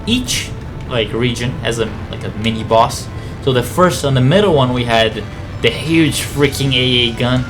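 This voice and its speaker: A man giving casual, rambling video game commentary, thinking aloud in a conversational way. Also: mid-range voice.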